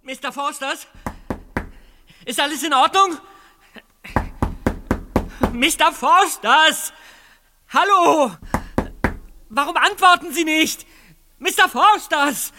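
A man's voice: extremely high pitch, takes it slowly, pauses often. An actor playing a frightened teenage boy calling out in panic in a radio play, heard from a distance with noise behind him. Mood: alarmed calling. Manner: shouting in alarm, frantic. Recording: distant voice with clear background noise.

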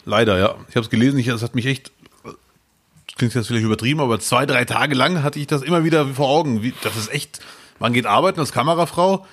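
A man in his thirties co-hosting a casual podcast, telling stories in a lively, jokey way. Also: medium pitch, brisk. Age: 30s. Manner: animated storyteller, lively and jokey. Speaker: podcast co-host.

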